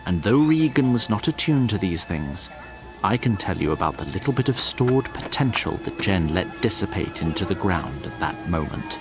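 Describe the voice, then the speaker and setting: A man's voice: low-pitched, sing-song, normal speed. A man narrating a scripted fantasy audio drama in a storyteller's tone with wry asides. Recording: loud music behind the voice.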